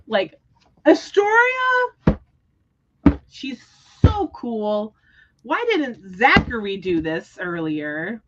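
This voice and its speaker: A woman hosting a casual book discussion livestream, talking in an animated, expressive way. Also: fairly high pitch, very slow, pauses often, highs cut off, very noisy. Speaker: host of book readalong livestream.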